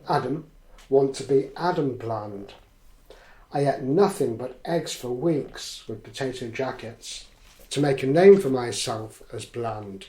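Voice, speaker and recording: A man playing a sardonic, self-important poet in a radio drama, deadpan and sarcastic in his declaiming, in an echoey room. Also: mid-range voice.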